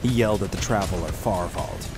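A man's voice: deep voice, a little fast. Man narrating a dramatic adventure over music. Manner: intense storytelling. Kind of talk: dramatic scripted audiobook narration.

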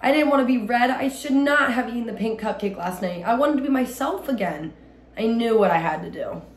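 Young woman, storybook read-aloud, expressive delivery, slightly high voice, roomy home recording. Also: brisk. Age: young adult. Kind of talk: expressive children's storybook reading. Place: small indoor room at home. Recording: roomy echo, light background noise.

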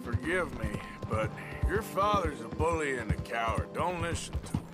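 An actor playing a weary Old West outlaw in a video game, gruff and dry, with an American Western drawl. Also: male voice, mid-range voice, expressive, moderate pace, natural voice. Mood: dryly exasperated. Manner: dry, sardonic, plain-spoken. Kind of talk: video game dramatic acting. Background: music, horse hooves.

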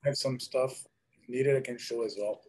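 A man's voice: mid-range voice, steady tone, moderate pace, a little mellow, slightly rough, rough recording. A man joining as a guest speaker, politely thanking the host on a video call.

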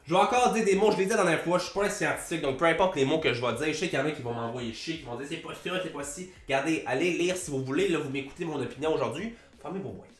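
A young Québécois man with a head cold talks quickly and nasally, with his hands flying, as a fitness coach vlogging to camera. His voice sits a bit high for a man. A boom-arm desk mic picks him up in a small room with some echo. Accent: Québécois French. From Quebec. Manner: fast, animated, swears casually, gestures a lot. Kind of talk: casual vlog talk to camera. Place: small room with a plain grey wall. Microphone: large desk mic on a boom arm.

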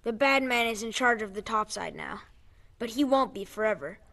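A woman's voice: a little high, expressive, moderate pace, creaky, fairly bright tone, full character voice. A woman acting in an audio drama as an earnest, pleading young underground scavenger. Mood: earnest, persuasive. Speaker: actor.

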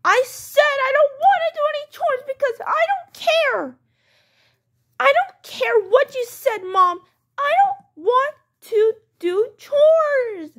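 A woman plays a defiant young daughter in a homemade pretend-play skit. Her voice is extremely high and swings up and down a lot. She whines and stretches out her petulant protests slowly. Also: expressive, exaggerated voice. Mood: defiant, whiny. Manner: whining, petulant, drawn-out protests. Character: whiny, defiant young daughter refusing chores.

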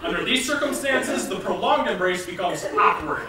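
An earnest romance scientist, acted by a young man, announces comic findings with mock authority. His voice is high and animated, projected from a stage far off in a very echoey hall. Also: moderate pace, very noisy. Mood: mock-serious. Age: young adult. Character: earnest male lab-coated romance scientist, mock-serious lecturer. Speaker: stage actor co-presenting rules of rom-coms. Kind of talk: scripted comic stage lecture. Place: large indoor theater stage. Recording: echoey theater from far off, loud audience.

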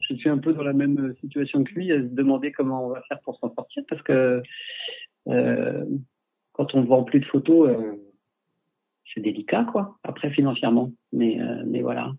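A man speaking over a thin, phone-like line, his voice a little husky. He is a photographer answering viewer questions in a casual livestream chat. He talks through a headset and stumbles often, circling back with fillers and restarts. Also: mid-range voice, normal speed. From France. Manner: hesitant, many fillers and restarts. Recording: husky, tinny call audio.

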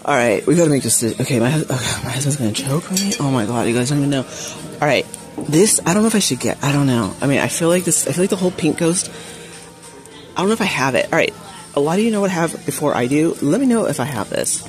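A woman vlogging as she shops in a big home goods store, chatting casually to viewers with frequent gasps and excited exclamations. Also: sits low, quick pace, faint music behind. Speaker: shopping vlogger. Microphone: phone camera held while shopping.

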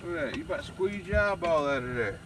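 A man's voice: a little high, expressive, quick pace. Tired man fishing at night. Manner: drawling, folksy, talks to the fish and teases them. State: tired and sleepy. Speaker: fishing vlogger. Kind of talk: casual running talk to camera while fishing. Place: outdoors on a lake at night, camping. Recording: noisy outdoor lake recording. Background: lake and outdoor ambience.

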